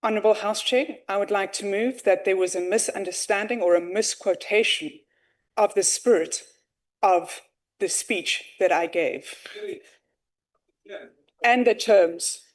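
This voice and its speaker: A South African woman addresses the chamber as a member of parliament. She is formal and assertive, raising points of order on procedure in a steady, mid-pitched voice. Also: unhurried, pauses often.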